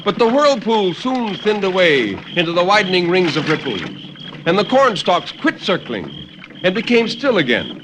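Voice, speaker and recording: A man reading horror tales in an intense, deliberate, theatrical 1950s radio storytelling style. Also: sits high, big pitch swings. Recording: noisy vintage 1950s radio recording.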